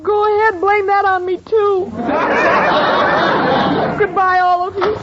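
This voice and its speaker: A woman's voice: extremely high pitch, little pitch movement, slow, over a noisy crowd. An actress playing a naive, scatterbrained, self-pitying young American woman in a 1940s radio comedy, earnest and literal-minded. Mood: hurt. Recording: muffled old radio recording, noisy, studio audience.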